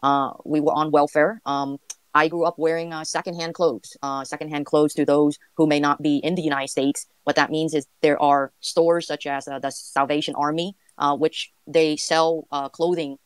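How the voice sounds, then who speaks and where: A woman from Vietnam, raised in the United States, speaking as an interview guest in a soft, hesitant way with many fillers. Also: deep voice, flat delivery, moderate pace, smooth, no room sound. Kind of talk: casual interview chat.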